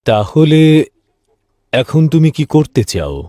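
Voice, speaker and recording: A man voicing a mild, steady older village man in a cartoon. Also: fairly high pitch, takes it slowly, no room sound, studio quality. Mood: calm, reassuring. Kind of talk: cartoon voice acting.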